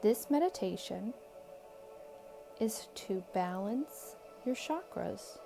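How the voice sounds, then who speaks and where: A woman leading a guided meditation in a calm, soothing, measured way, leaving long pauses between short phrases. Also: medium pitch, creaky. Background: background music.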